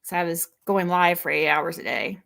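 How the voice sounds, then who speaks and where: A woman's voice: lowish voice, normal speed, creaky, fairly bright tone, a little hiss or hum. A young woman hosting a livestream, chatting in a rambling, self-correcting way as she reacts to an old clip. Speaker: livestream host. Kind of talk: casual livestream commentary.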